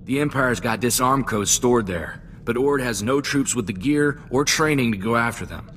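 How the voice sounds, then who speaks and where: A man's voice: medium pitch, moderate pace, fairly bright tone, a little hiss or hum, close to an everyday voice. A man playing an earnest, loyal young sidekick in a space-adventure game, talking in a plainspoken, folksy, reflective way. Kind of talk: video game cutscene dialogue.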